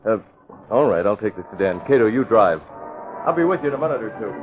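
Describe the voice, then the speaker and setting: An actor playing a decisive young male publisher in 1940s radio drama, quick and commanding. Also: lowish voice, animated, over loud music, natural voice. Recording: tinny old radio broadcast.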